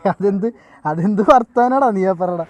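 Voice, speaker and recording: A man's voice: high-pitched, measured pace, a little mellow. A man with a Kerala Malayalam accent in casual phone banter, teasing and exasperatedly repeating his questions. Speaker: prank-style phone caller posing a riddle.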